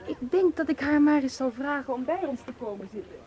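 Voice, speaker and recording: An actress in a radio play playing a self-possessed, forthright tour leader, wry and conversational, given to banter. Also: female voice, high-pitched, expressive, fast, mellow. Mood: wry, curious. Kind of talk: radio drama acting.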